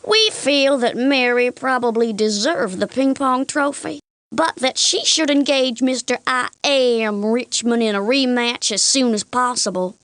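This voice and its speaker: A woman playing an earnest, literal cartoon jury foreperson who over-explains haltingly. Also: a little high, fairly bright tone, dry recording. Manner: halting, literal, long-winded.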